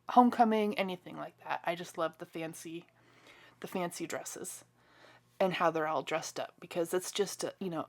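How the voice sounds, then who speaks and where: A woman talks through a crafting tutorial in a relaxed, chatty way, full of enthusiasm. Her voice is slightly hoarse and stuffy, and she clears her throat now and then. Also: lowish voice. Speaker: card-making crafter. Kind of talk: casual crafting tutorial voice-over.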